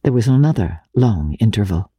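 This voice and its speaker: A woman narrating literary fiction in a measured, deliberate and theatrical manner, as a dramatic reading. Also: a bass voice, expressive, dark tone, breathy edge, no echo, studio quality. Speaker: audiobook narrator.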